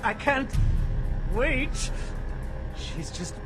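An actor playing a menacing male serial killer in a video game, slow and obsessive. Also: very high-pitched, very animated, over music, exaggerated voice.

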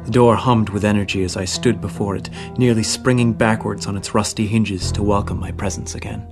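Man acting solemn, lowish horror-story narrator. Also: steady tone, fairly quick, lightly acted. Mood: solemn, reflective. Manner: solemn, literary. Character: grave, reflective man recalling his haunted past. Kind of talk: scripted first-person horror narration. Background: guitar music.

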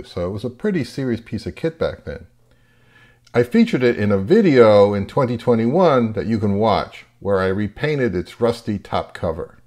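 A man's voice: on the low side, a little mellow. A man hosting an audio gear vlog, talking in a casual, informal way. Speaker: host of an audio repair vlog. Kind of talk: casual chat and informal video narration.

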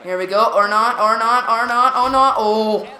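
A woman giving excited play-by-play of a hockey video game, stuttering and repeating words as she reacts. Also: fairly high pitch, moderate pace. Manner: jumpy, reactive, lots of "come on" and "here we go". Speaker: gaming channel host. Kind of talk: excited live gameplay commentary. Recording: roomy echo.

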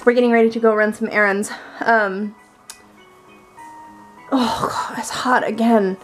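A woman's voice: a little high, fairly even intonation, unhurried, faint music behind. A young woman chatting casually and playfully for a family vlog, with lots of exclamations. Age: young adult. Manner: bubbly, playful, exclaims often. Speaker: vlogger. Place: car and family home. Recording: noisy car interior.

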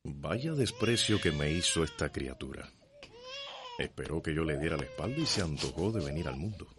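Very deep-voiced courtly doctor. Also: male voice, animated, normal speed. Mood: wry, courteous. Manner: formal, jovial. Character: courtly, self-assured, wry male plantation doctor. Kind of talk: radio drama acting.

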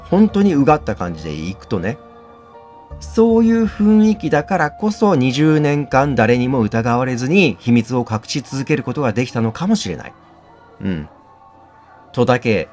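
A man's voice: fairly low pitch, a little mellow. A man hosting a game playthrough, reading text aloud and commenting on it.

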